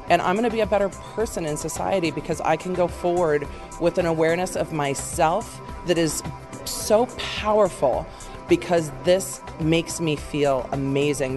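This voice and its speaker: A woman who works as an attorney, giving an earnest, emphatic testimonial about a coach training. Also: low-pitched.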